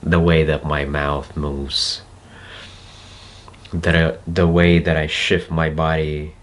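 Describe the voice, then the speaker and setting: A man with a Russian accent, a native Russian speaker, talking slowly and reflectively to camera and pausing to search for words. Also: rumbling low, little pitch movement, fairly bright tone. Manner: slow, deliberate, searching for words with pauses. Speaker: self-development video host. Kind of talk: slow reflective monologue to camera.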